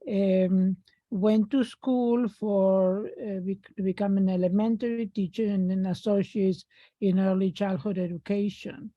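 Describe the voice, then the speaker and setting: An older woman from Argentina speaks with an Argentine Spanish accent over a video call, slow and unhurried. Her voice is dark and mellow, and the dry, dull lo-fi call audio flattens it. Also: medium pitch, no echo. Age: 60s. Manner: unhurried, pausing often with fillers. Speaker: artist guest. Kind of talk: remote panel talk.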